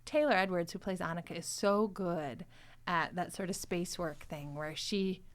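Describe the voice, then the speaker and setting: A woman hosting a casual podcast chat, recapping episodes and retelling scenes in an animated, amused way. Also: fairly low pitch, moderate pace. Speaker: podcast host discussing an improvised audio show.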